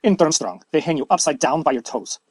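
Guy doing teen schoolboy w slavic accent, husky, contemptuous, audiobook, dry sound. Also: on the high side, expressive, fairly quick, no room sound. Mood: dark relish. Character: tall teenage Slavic schoolboy, darkly contemptuous. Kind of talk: audiobook character acting.

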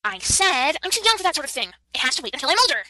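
A woman playing a cheeky, excitable young schoolgirl in an audiobook, with an indignant, dramatic manner. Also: extremely high pitch, rapid-fire, no room sound, full character voice. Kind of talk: audiobook character acting.

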